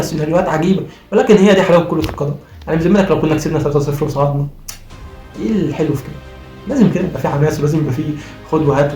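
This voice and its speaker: An Egyptian man talks football at breakneck speed in a fairly high voice that sounds strained and worn from cheering. He argues emphatically and repeats his points like a fired-up fan. The room is noticeably echoey. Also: a little mellow. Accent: Egyptian Arabic. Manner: emphatic, argumentative, repeats phrases. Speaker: football vlogger. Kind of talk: impassioned post-match fan commentary. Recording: roomy echo, faint music behind.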